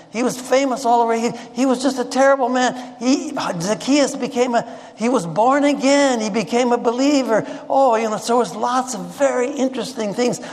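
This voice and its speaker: Man preaching in an echoing hall. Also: very high voice. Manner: conversational, with frequent restarts and repeated words; asks the audience questions. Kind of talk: sermon. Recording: long reverb of a large hall.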